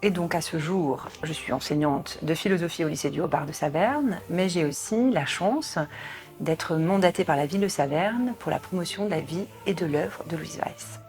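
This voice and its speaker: A woman in her late forties from southern France, a philosophy teacher speaking in a thoughtful, measured way. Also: low voice, darkish tone, faint music behind. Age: 40s. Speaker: philosophy teacher, podcast host. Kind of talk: calm spoken portrait.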